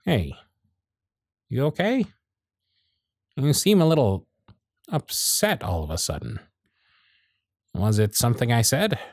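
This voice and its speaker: A man's voice: mid-range voice, sing-song, slow, pauses often, no room sound, clean studio sound, exaggerated voice. A man playing a proud, sardonic but protective supervisor in a scripted ASMR roleplay, with theatrical, exasperated phrasing. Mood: sincere, gentle. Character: proud, sardonic male workshop supervisor, protective of his intern. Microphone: close studio mic, dry.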